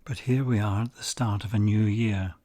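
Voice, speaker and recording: A man guiding a meditation, speaking softly and calmly, some phrases nearly whispered. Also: lowish voice. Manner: soft-spoken, calm and unhurried, with breathy near-whispered phrases. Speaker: meditation guide. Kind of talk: slow guided meditation.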